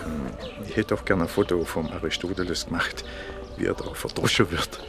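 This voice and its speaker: An actor playing an earnest, love-struck provincial police inspector with a halting, intimate manner. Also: male voice, low-pitched, gravelly. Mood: musing, earnest. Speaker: radio drama lead actor. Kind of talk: German radio drama dialogue and narration.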